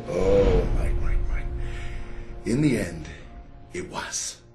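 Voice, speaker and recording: Male for a needling game interrogator: lowish, swooping delivery, theatrical smugness, echoey space with music. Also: expressive, extremely slow, heavily acted. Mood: smug, needling. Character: smug, taunting male interrogator. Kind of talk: video game dramatic dialogue. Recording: echoey, distant voice, music behind.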